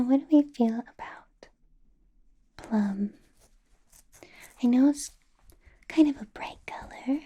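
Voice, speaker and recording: Woman for a possessive vampire boss, ASMR: slow, husky whisper, teasing control. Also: a little high. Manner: soft whispering, playful and controlling. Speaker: ASMR artist. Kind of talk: ASMR roleplay.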